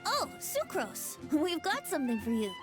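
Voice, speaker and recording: A woman's voice: high voice, wildly swooping pitch, moderate pace, music in the background. A woman voicing a breezy, teasing boyish young male bard in a video game, with a theatrical manner. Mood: light, friendly.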